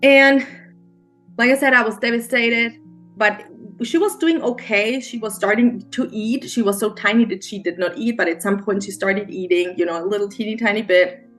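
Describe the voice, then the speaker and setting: A middle-aged woman with a German accent, a mindset coach, telling her story as an interview guest in a halting, earnest way with many restarts. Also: medium pitch, a bit bright, soft background music. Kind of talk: emotional personal storytelling interview.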